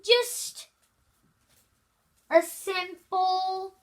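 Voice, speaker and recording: A woman's voice: extremely high pitch, fairly even intonation, very slow. A female amateur reader reads a children's novel aloud with casual asides, stumbling over words and repeating them. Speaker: amateur reader hosting a read-aloud video.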